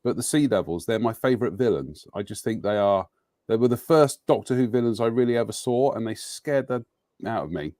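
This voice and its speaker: A man's voice: on the low side, animated, brisk, darkish tone, dry recording, studio quality. A man hosting a live chat show over a video call, talking in a chatty, wry and opinionated way. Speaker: host. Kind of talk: casual live-stream chat.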